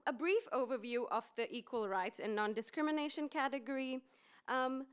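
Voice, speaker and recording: A woman's voice: a little high, clean tone. A woman from Fiji in her thirties moderating a conference session from a podium, with frequent ums and small restarts. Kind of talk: conference panel introduction. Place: conference room with seated audience. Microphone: podium mic.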